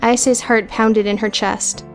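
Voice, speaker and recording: A woman's voice: a little high, flat delivery, slow, faint music behind. A woman reading scripted, dramatic audiobook narration.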